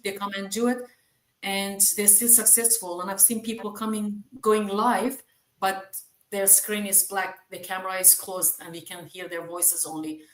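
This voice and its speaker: A woman's voice: mid-range voice, fairly even intonation, normal speed. Persian-accented woman in an echoey room. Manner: reassuring, hesitant with many fillers. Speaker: team member sharing experience. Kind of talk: casual group chat.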